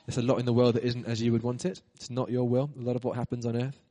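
A man's voice: medium pitch, level tone, quick pace, warm tone. A man introducing the speaker and then leading an earnest spoken prayer in church. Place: church hall. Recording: reverberant church hall.

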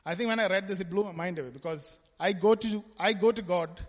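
Man, high voice, giving a chatty church testimony, echoing in a big hall. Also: fast. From Kerala, India. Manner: conversational, tags lines with "right?". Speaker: church speaker. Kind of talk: personal testimony talk at church. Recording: long hall reverb.

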